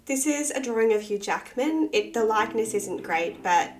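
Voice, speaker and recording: A woman, husky from a cold with a bit of vocal fry, chatting casually and self-deprecatingly through a sketchbook tour. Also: on the high side, expressive, normal speed. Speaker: artist and channel host.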